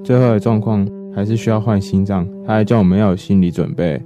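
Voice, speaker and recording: A man's voice: on the low side, quick pace, lightly acted. An actor playing a weary man in his twenties who shoulders his family's burdens, confiding and reflective, in a radio drama. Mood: grave, resigned. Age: 20s. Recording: slightly muffled, guitar music behind.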